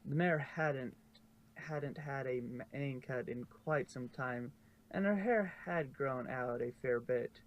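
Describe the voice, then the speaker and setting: A man's voice: mid-range voice, takes it slowly, dull audio. A man reading a story aloud in an informal way, stumbling and correcting himself now and then. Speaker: fanfiction narrator. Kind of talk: storybook narration read aloud. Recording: noisy home recording.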